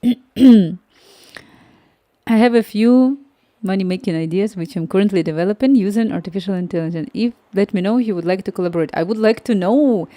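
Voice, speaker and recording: Softly mellow woman coding live. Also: mid-range voice, a little fast. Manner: thinking aloud, reading chat, many restarts. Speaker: coding streamer. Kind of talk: live-stream coding chat.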